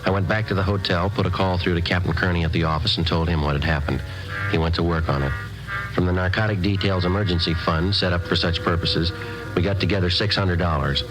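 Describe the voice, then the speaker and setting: A man playing a matter-of-fact undercover police detective in a 1950s radio crime drama, clipped and deadpan. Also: sits low, quick pace, over music, natural voice, rough recording. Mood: deadpan, factual. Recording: vintage radio recording.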